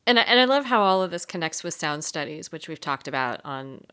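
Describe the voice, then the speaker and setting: A woman's voice: low-pitched, big pitch swings, a little fast, fairly bright tone, clean tone. A woman co-hosting a podcast, chatting casually in a reflective, interested way. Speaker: podcast co-host. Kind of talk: casual conversational podcast talk.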